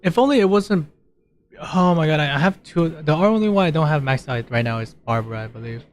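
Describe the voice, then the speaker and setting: A man's voice: a little high, animated, a little fast. A man giving casual commentary while he plays a game, rambling and thinking aloud in a self-deprecating way. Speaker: gamer narrating gameplay. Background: game music.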